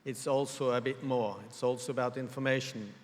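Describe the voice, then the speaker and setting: A man from Norway gives a formal conference presentation in English, measured and pausing often to find his words. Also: mid-range voice, fairly even intonation. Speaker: conference speaker. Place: large conference hall. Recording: reverberant hall with long echo.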